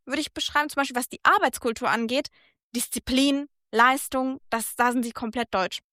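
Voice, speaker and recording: A woman's voice: high voice, moderate pace, bright, forceful tone, dry recording, clean studio sound. A woman born and raised in Germany, speaking earnestly and reflectively in a casual conversation. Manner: earnest, reflective, speaks in long thoughtful runs. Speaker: guest.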